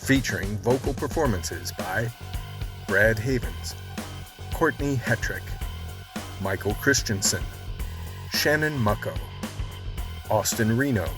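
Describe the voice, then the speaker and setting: A man's voice: lowish voice, expressive, slow pace, long pauses. Male announcer reading credits over music.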